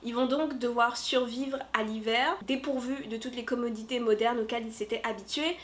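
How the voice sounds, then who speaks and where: Upbeat woman book reviewer with a slightly high voice, talking casually to camera with lots of little pauses. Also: fairly even intonation, a bit bright. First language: French. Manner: chatty and upbeat, many filler pauses. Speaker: book vlogger and fantasy author. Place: room decorated for Halloween.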